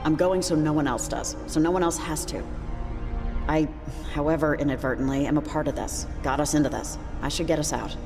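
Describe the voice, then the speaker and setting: Deep-voiced, firm woman, protective. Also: brisk. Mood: resolute. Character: grounded, protective, self-sacrificing adult woman. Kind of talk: naturalistic video game voice acting. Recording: long reverb, music behind.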